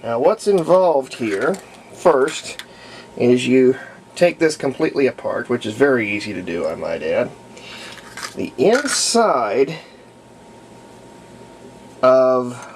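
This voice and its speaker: Male hobbyist restorer, casual explainer. Gravelly fry, unhurried halting delivery, pauses mid-thought. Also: mid-range voice, slight background noise. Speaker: hobbyist radio restorer demonstrating a repair. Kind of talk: casual hands-on tutorial talk.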